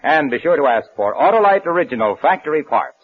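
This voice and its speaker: A man working as a radio announcer and pitchman, delivering scripted 1940s-style commercial copy. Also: sing-song, fast talker. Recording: dull vintage radio broadcast.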